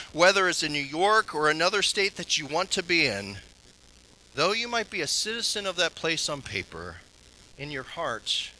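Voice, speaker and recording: A man pastor giving an earnest sermon that ends in prayer, swinging between strong, raised passages and low, soft ones. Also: slight background noise, rough recording.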